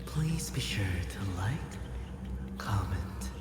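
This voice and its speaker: A man hosting an audio roleplay channel, giving a soft scripted introduction. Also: low voice, animated, drawn-out words, loud music behind the voice. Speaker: channel host, voice actor.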